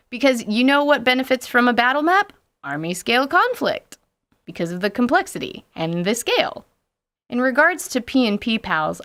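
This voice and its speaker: A woman's voice: mid-range voice, a bit bright. A woman hosting a scripted, playful commentary on tabletop gaming as a game master, with theatrical emphasis and mock-dramatic asides. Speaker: podcast host and game master.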